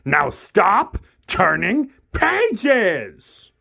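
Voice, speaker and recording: Lovable furry storybook monster, nervous and pleading, husky, very slow drawn-out emphatic delivery. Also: male voice, high-pitched, wildly swooping pitch, pushed and bright, heavily acted. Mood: pleading, distressed. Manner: melodramatic, drawn-out, emphatic delivery without contractions. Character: lovable furry male monster, excitable, easily frightened, pleading. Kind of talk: theatrical children's storybook puppet performance.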